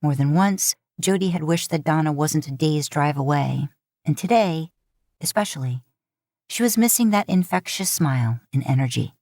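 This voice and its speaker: A woman narrating scripted fiction in an audiobook style, with measured, deliberate phrasing into a close mic. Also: low voice, lively intonation, a little mellow, dry recording. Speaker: podfic narrator.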